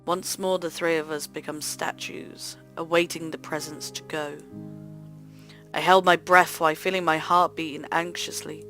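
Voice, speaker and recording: A woman hosting a let's play, reading visual novel narration aloud in an expressive, theatrical way. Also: deep voice, normal speed, faint music behind. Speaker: host of a gaming let's play channel.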